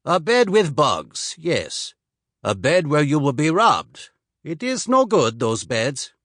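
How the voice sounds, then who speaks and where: A big, stern Swedish stable keeper who never smiles, clipped and blunt, with a slight accent in his speech, as a man acts him out. His voice sits higher and louder than the narration, in a dry, close recording. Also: very animated, takes it slowly, no echo, full character voice.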